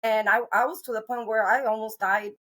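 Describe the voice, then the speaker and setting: A woman's voice: a little high, steady tone, brisk, fairly bright tone. A middle-aged woman from Cuba hosting a podcast, talking in an earnest, rambling way with many restarts and fillers. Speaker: podcast host. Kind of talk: casual interview chat.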